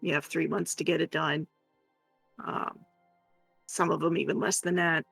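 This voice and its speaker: A man in casual co-stream chat, speaking haltingly and correcting himself as he goes. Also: on the high side, level tone, vocal fry, bright, forceful tone, husky, no room sound, faint music behind.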